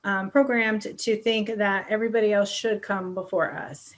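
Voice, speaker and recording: A woman's voice: mid-range voice, fairly even intonation, normal speed, crowd noise. A middle-aged woman hosting a podcast, talking candidly and relatably in a casual conversational style. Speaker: podcast host.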